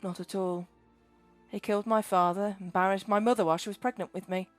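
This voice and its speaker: A woman's voice: medium pitch, steady tone, brisk, no echo, faint music behind, natural voice. A woman voicing a scrawny, frightened young boy who talks earnestly and stammers.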